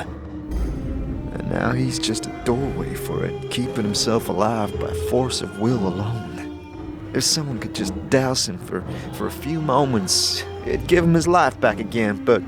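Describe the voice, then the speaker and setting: A man voicing a sardonic, world-weary elven woman in an audio drama, measured and brooding, with music behind. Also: medium pitch, sing-song, creaky. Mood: bitter.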